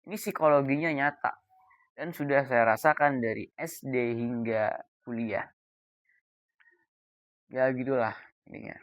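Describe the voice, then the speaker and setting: Young male coach, mid-range pitch, deliberate and emphatic informal explainer, dry recording. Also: fairly even intonation, no room sound. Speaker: voice coach giving advice. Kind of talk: informal explainer talk to camera.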